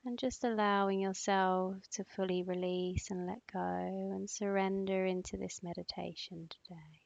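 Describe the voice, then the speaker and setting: A woman leading a guided meditation in a soothing, instructing manner, with long pauses and breathy phrase endings. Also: mid-range voice, steady tone, a little mellow, dry recording. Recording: slightly muffled, dull close dry recording.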